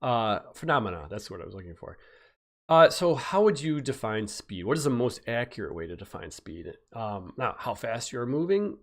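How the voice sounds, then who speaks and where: A man's voice: mid-range voice, big pitch swings, moderate pace. A man who is a physics teacher, giving a casual live-streamed exam review while stuffy from a cold, rambling with fillers and restarts. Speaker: high school physics teacher. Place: home, recording a YouTube live stream.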